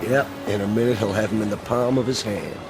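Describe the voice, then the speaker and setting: A man's voice: on the low side, fast talker, natural voice. A man acting in a radio drama as the confident, eager right-hand man of a fanatical leader, urging and assured.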